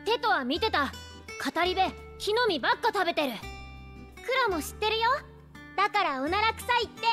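A small child in a video game, with a very high, bright voice that swings up and down. The child chatters in a blunt, teasing, playful way. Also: female voice, animated, moderate pace, soft background music, full character voice. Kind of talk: Japanese video game voice acting.

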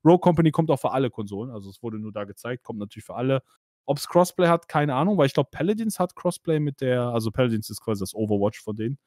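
A man's voice: medium pitch, lively intonation, quick pace, darkish tone, no echo, clean studio sound. A man hosting a casual podcast about games, chatty and full of fillers, laughing now and then. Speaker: podcast host. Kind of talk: casual gaming podcast chat.

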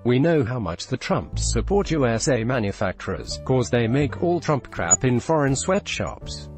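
A man narrating an online news video and reading tweets aloud, handles and dates included, in a flat, even read-out. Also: mid-range voice, normal speed. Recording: music bed underneath.

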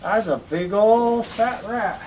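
A man's voice: high-pitched, slow. A man in his thirties giving casual running commentary while ratting with dogs, calling commands and praising them, on a body camera. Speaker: pest control ratter with dogs. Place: outdoor dirt pens on a farm. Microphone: chest-mounted body camera. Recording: noisy outdoor camera audio. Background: dogs and outdoor ambience.

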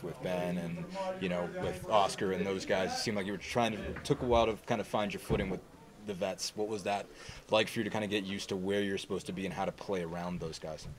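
Fast, low-voiced male reporter. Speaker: sports reporter asking questions. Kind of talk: informal locker-room interview questions. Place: indoor locker room.

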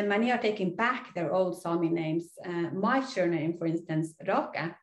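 Norwegian-accented woman, middle-aged, university lecturer, earnest explanatory delivery full of "uh"s, mid pitch, webcam mic in a roomy room. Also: darkish tone. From Norway. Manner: earnest, explanatory, frequent "uh" fillers. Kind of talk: recorded online lecture over slides. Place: small indoor room.

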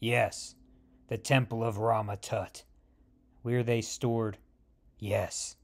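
A man doing a gruff, grim soldier. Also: fairly low pitch, level tone, slow pace, voice pushed down.

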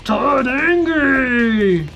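A woman's voice: high voice, expressive, drawn-out words, gravelly, fairly bright tone, lo-fi sound, soft background music, exaggerated voice. A woman voicing an angry, dim-witted male monster in a game roleplay, roaring in clipped broken speech. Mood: furious.

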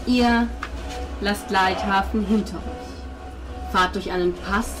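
A woman's voice: mid-range voice, fairly even intonation, slow, over music, low-quality recording. A woman improvising narration as game master of a tabletop role-playing game.

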